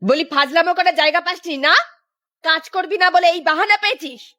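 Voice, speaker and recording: Very high-voiced bossy village landlady. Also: fairly even intonation, moderate pace, pushed and bright. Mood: angry, scornful. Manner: scolding, commanding. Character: bossy, sharp-tongued village landlady scolding her servant. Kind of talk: Bengali cartoon dubbing.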